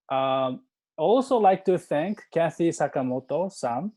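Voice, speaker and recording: A man who organized the forum reads out formal thanks to his partners, now and then restarting a phrase. His voice sits a little high for a man, with a warm, mellow tone. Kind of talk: formal thank-you speech. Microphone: video call.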